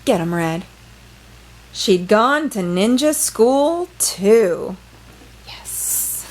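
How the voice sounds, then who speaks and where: A woman reading a picture book aloud for children, expressive and playful, with dramatic phrasing and small asides. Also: medium pitch, extremely slow, low-quality recording.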